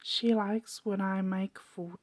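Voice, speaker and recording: A woman voicing a solemn, mysterious male vampire in an ASMR story, in a hushed, halting whisper. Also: mid-range voice, fairly even intonation, natural voice. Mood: wistful. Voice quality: breathy whisper.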